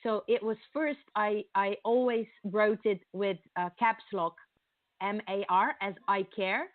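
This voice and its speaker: A woman's voice: mid-range voice, steady tone, unhurried, no room sound. A woman from Slovenia with a Slovenian accent, a marketing coach guest explaining her ideas earnestly in long sentences full of fillers. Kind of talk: conversational interview. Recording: tinny phone-line sound, dry.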